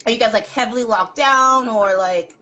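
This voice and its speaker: A woman's voice: on the high side, normal speed, sharp tone, highs cut off, a little hiss or hum. A woman hosting an interview who asks her questions with a hesitant start, often repeating her first words. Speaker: interviewer, host.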